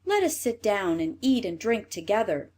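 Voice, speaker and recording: A woman's voice: mid-range voice, sing-song, normal speed, darkish tone. A woman voicing a simple, kind-hearted young man in a storybook, earnest and open. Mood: eager, generous.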